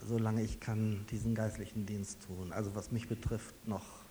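A man in his sixties from Westphalia, preaching to a small gathering in a conversational, personal way with lively emphasis. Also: lowish voice, normal speed. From Westphalia, Germany. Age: 60s. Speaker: pastor. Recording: reverberant hall with faint hiss.

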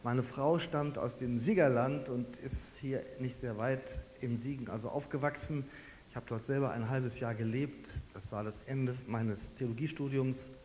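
A man in his sixties from Westphalia, preaching to a small gathering in a conversational, personal way with lively emphasis. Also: medium pitch, normal speed. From Westphalia, Germany. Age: 60s. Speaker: pastor. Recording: reverberant hall with faint hiss.

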